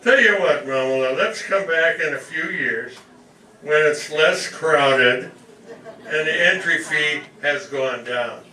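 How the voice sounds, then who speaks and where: Eager grown son male actor, explaining and placating, forceful bright tone, comic radio play, distant mic. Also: a little high, slow pace. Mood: exasperated, coaxing. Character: assimilated, patient, eager grown son of immigrant mother. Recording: distant roomy mic, faint noise.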